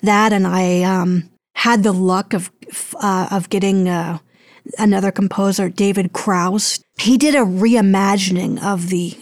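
A woman of middling pitch chats as an interview guest. She searches for words a little, in a dry, close sound. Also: a little mellow, no room sound. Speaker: podcast guest, audio producer. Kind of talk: casual interview chat.

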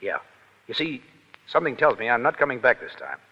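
A man's voice: mid-range voice, big pitch swings, a little fast, husky. An actor playing a brash, self-effacing American Foreign Legion private in 1930s radio drama, with blunt, slangy tough-guy talk. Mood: wistful, urgent. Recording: vintage 1930s radio, dull, hall reverb.

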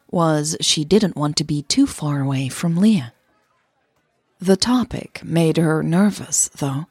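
Female narrator, native Dutch speaker, unhurried, smooth clear voice, scripted romance audio story. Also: sits low, darkish tone, no room sound, studio quality.

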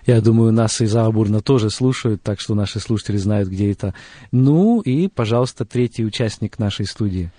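A man's voice: on the low side, normal speed, mellow, no room sound. A man hosting a conversational radio interview in a studio. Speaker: radio host.

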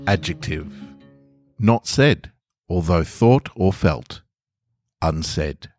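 A man's voice: sits low, expressive, slow pace, a little mellow, faint music behind. A man hosting a podcast, talking casually and reading short stories aloud as narrator. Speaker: podcast host and author reading own stories.

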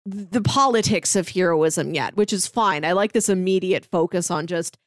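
A woman's voice: on the low side, normal speed, no room sound, clean studio sound. A woman chatting casually and enthusiastically as a podcast panelist, often dropping "like" into her sentences. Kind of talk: casual review chat.

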